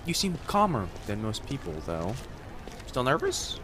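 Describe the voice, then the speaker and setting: A man voicing a friendly, gently teasing young fox-spirit college student in an immersive roleplay audio, speaking casually and reassuringly to the listener. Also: mid-range voice, huge pitch swings, a little slow, noisy background. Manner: soft-hearted, reassuring, casual conversational delivery. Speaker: voice actor.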